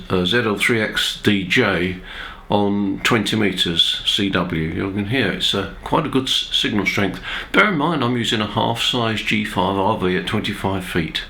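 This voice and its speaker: A man presents an informal explainer for radio hobbyists. His voice sits fairly low but has a bright, forward edge, made nasal by a cold. He talks conversationally and hesitantly, as if chatting to his viewers. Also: unhurried. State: has a cold, throat-clearing.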